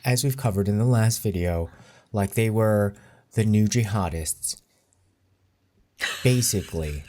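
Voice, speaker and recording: A man giving casual commentary in a deliberate, measured way. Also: lowish voice, warm tone. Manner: deliberate, measured delivery with occasional laughs. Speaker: co-host commentator.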